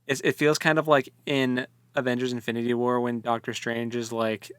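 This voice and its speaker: A man with a mid-pitched voice and a very dry, close sound chats as a co-host about a book. He talks informally, with a jokey touch, often feeling his way through a thought. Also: flat delivery, moderate pace, fairly bright tone, clean tone, no room sound. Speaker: podcast co-host. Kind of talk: casual book discussion.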